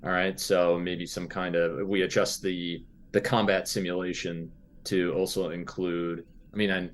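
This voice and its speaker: A man's voice: low-pitched, level tone, measured pace. A man chatting casually as a player in a tabletop role-playing game, with a wry, playful manner.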